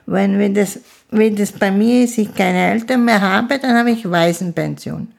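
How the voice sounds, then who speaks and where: A woman, an interview guest who speaks slowly and haltingly, often restarting her sentences. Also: mid-range voice, creaky. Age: 50s. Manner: slow, halting speech with restarts. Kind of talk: casual conversation.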